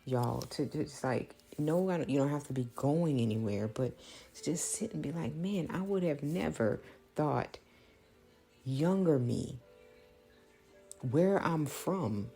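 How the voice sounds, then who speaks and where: A woman, thinking out loud in a reflective, prayerful monologue, halting and earnest as she repeats phrases. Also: deep voice, expressive, vocal fry, slightly rough, faint music behind. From small-town Louisiana, United States. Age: late 30s. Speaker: podcast host.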